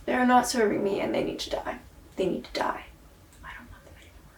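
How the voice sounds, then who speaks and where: A tired-sounding woman with a fairly high voice talks through a candid video diary. She is introspective and blunt, with frequent swearing and weary sighs, and her throat sounds sore. She sits well back from the mic in an echoey room. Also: fairly even intonation, fast, distant mic, slight background noise. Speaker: vlogger and singer. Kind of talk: rambling video diary monologue.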